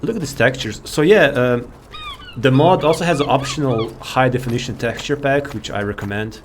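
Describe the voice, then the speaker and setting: A man's voice: medium pitch, animated, normal speed, slight background noise. A man giving rambling, informal and enthusiastic commentary as he hosts a video game showcase. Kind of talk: casual game walkthrough commentary. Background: game music.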